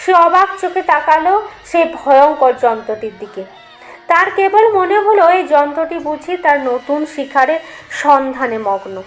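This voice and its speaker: A woman with a high voice narrates a ghostly tale as if for an audiobook. Her delivery is literary and dramatic, following a written script in a storyteller's way. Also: rough recording.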